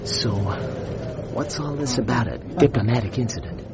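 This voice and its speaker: An actor playing a calm, friendly young athlete, polite and laconic, in a radio drama. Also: male voice, mid-range voice, unhurried, lightly acted. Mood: easygoing. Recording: muffled, noisy vehicle interior scene.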